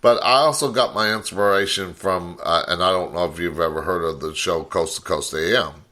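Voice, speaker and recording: A man's voice: sits low, brisk, pushed and bright. A man in his forties hosting a podcast, chatting casually in an easygoing, rambling way. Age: 40s. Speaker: podcast host. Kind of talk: casual interview chat.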